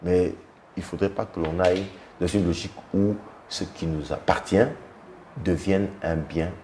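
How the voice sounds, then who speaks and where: Deep-voiced man debating. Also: fairly even intonation, a little mellow, a little hiss or hum. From Democratic Republic of the Congo. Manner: measured, argumentative, many filler hesitations. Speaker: guest commentator. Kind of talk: televised political debate.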